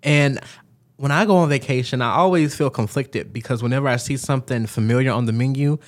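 A man's voice: medium pitch, brisk. A young man from Georgia with a Southern accent, chatting casually as a podcast co-host. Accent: Southern American. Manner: chatty storyteller, frequent likes and ums. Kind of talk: casual chat.

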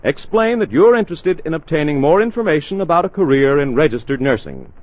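Lively, higher-voiced 1940s American radio announcer, male. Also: fairly quick. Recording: dull, lo-fi 1940s radio broadcast.

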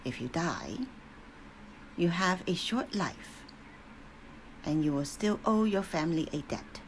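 Stern, shrill elderly matriarch, scolding and slow-spoken, put on by a woman reader. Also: lively intonation, a little hiss or hum, poor audio. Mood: grave.

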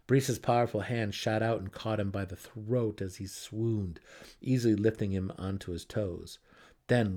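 Man, lowish voice, dramatic scripted narration, fantasy audiobook, theatrical emphasis. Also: mellow.

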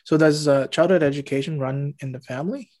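A man's voice: fairly high pitch, brisk, darkish tone. A man hosting an interview, asking questions in an easygoing way with small fillers. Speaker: interview host. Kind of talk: casual interview.

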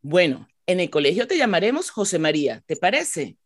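A woman's voice: fairly low pitch, big pitch swings, fairly quick. A woman playing a polite, kindly and obliging schoolteacher in a radio drama. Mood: gentle, encouraging.

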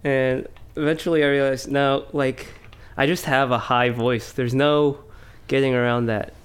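A man's voice: medium pitch, steady tone, a little slow, clean tone. A man co-hosting a podcast in casual chat, easygoing and reflective. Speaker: podcast co-host.

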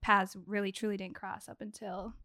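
A woman's voice: mid-range voice, level tone, moderate pace, pushed and bright, no room sound, clean studio sound. A woman in her twenties from Minnesota, a podcast guest chatting casually and laughing easily. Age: 20s. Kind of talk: casual chat.

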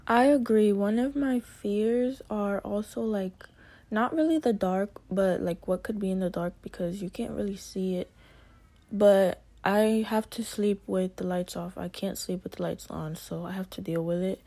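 A woman's voice: mid-range voice, steady tone, measured pace, mellow. A young woman hosting a casual chat, describing her fears and a cartoon in a chatty, vivid way. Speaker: host. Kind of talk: casual talk.

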